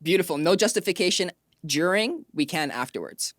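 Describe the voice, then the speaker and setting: A woman's voice: fairly low pitch, animated, fairly quick, no echo, clean studio sound. A woman hosting an interview in a casual, informal way, often affirming with short repeated acknowledgements. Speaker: interview host.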